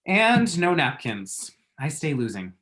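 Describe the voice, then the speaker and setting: A man's voice: a little high, very animated, takes it slowly, a bit bright, heavily acted. Man acting a chatty, flippant lovesick young guy. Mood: hyped, rueful. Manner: chatty, dry, self-deprecating, pop-culture asides. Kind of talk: screenplay table read.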